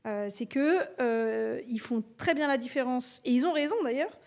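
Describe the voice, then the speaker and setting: A woman's voice: high-pitched, moderate pace, a little mellow. A woman who is an executive coach, hosting a casual podcast interview, chatty and thinking aloud as she builds her questions. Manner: conversational, frequent listening murmurs, thinks aloud.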